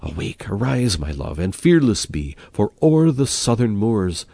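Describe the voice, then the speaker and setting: A man's voice: fairly low pitch, sing-song, a little slow, vocal fry, warm tone, no room sound, exaggerated voice. A man as an ardent young lover in verse. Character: ardent young male lover, devoted and urgent.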